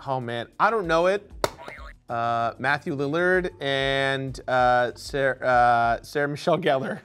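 A man in his thirties speaking casually as a contestant on a studio game show, thinking out loud. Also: mid-range voice, unhurried, clean tone. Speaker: game show contestant, online video host. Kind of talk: casual, unscripted quiz answers. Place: indoor studio set.

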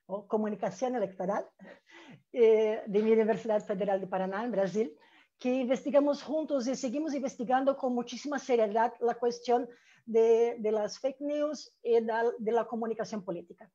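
A middle-aged woman with a Brazilian accent, a native Portuguese speaker, presenting academically and haltingly on a dull-sounding video call. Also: on the high side, mellow. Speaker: academic panelist, researcher. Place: room with bookshelves indoors. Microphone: earphone mic on video call.

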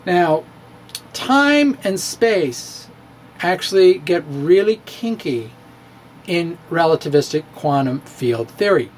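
A man's voice: fairly high pitch, sing-song, takes it slowly, a little hiss or hum. A middle-aged man giving a comic dramatic reading of a science blog, wry and playful, laughing at his own jokes, recorded indoors on a close handheld mic. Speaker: science blogger. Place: small room with bookshelves, indoors. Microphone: handheld mic on stand, close.

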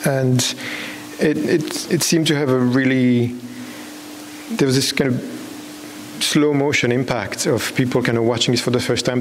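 A man in his thirties from Belgium, a documentary filmmaker, giving a halting, unscripted answer on stage with repeated false starts. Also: medium pitch. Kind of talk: unscripted answer at a public talk. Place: on stage in a large dark hall with an audience. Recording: echoey hall with clear background hiss.

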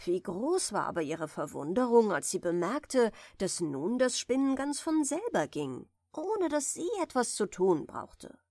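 Slow, gentle woman reading a bedtime tale. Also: mid-range voice, sing-song, no room sound, clean studio sound. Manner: soft, soothing, measured. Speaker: audiobook reader of fairy tales.

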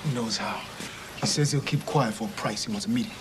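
A man's voice: lowish voice, fairly quick, natural voice. Male actor as a grim, clipped undercover cop; heavy noise on the track. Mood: curt. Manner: terse, flat, clipped. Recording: loud background noise.

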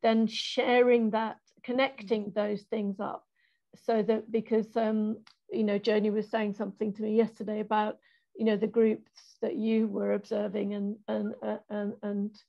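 Flat, gravelly woman moderating a panel. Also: medium pitch, a little slow. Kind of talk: online panel discussion. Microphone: video call laptop mic. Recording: dull lo-fi video call audio.

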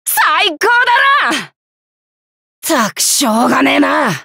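Casting: cocky tomboy knight for an anime game, bright forceful voice, highly animated, rough boisterous delivery. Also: female voice, slow, no room sound, clean studio sound, full character voice. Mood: fierce, battle-ready. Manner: rough masculine slangy speech, boisterous, swaggering. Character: brash, cocky young tomboy knight, hot-blooded fighter. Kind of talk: Japanese anime game character voice lines.

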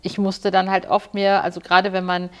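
Female podcast host as talk-show guest, middle-aged, lively and enthusiastic chat at a medium pitch. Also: normal speed, smooth. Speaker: podcast host and music journalist being interviewed. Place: small indoor talk-show set, seated at a table.

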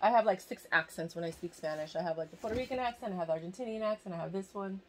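A woman's voice: medium pitch, very fast, darkish tone, slight background noise, rough recording. A woman in her forties chatting to camera from a cluttered home room, rambling and gushing about giveaway items while in pain. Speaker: fashion vlogger hosting a giveaway. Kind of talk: casual chat to camera. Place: small home room crowded with bags and boxes, indoors. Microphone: camera at desk distance.

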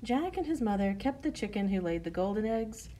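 Mellow woman narrating a children's story. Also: mid-range voice, expressive, fairly quick, slight background noise.

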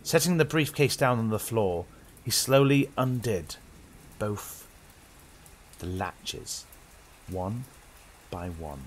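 A man's voice: lowish voice, expressive, slow, pauses often, a little hiss or hum, low-quality recording. A young British man hosting and narrating a horror tale with dramatic, suspenseful, old-radio theatrical delivery. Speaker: horror story host and narrator.

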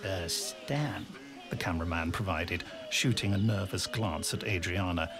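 A man's voice: low voice, fairly even intonation, moderate pace, over music. A man reading scripted story narration in a dramatic storytelling style. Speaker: audiobook narrator.

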